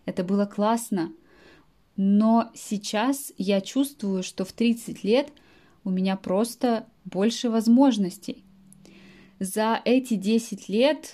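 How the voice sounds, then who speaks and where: A woman in her late twenties speaks to her language students with a warm, mellow tone. She talks in a measured podcast monologue, often breaking off to define a word in plain language, with soft fillers between thoughts. Her voice sits at an ordinary middle pitch. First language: Russian. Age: late 20s. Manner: explains words in simple terms, with frequent soft fillers. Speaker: Russian language teacher.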